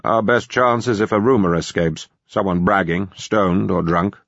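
A man voicing a sober corporate security chief in an audiobook, measured, formal and matter-of-fact. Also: sits low, vocal fry, fairly bright tone, no echo, lo-fi sound, voice pushed up, exaggerated voice.

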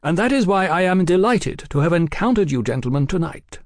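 A man voicing a suave, mild-mannered gentleman journalist in an audiobook, courteous, effusive and wordy. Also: sits high, sing-song, brisk, dry recording, studio quality, voice pushed up. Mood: effusive agreement. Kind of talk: audiobook character acting.

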